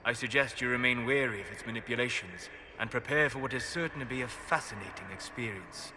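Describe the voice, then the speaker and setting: A male actor plays a calm, learned male mage in a fantasy game. He speaks formally and deliberately in a mid-range voice, with clear ambient noise behind him. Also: mid-range voice, natural voice. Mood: fascinated, measured. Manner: measured, erudite, formal. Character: calm scholarly male mage, fascinated by the dream world.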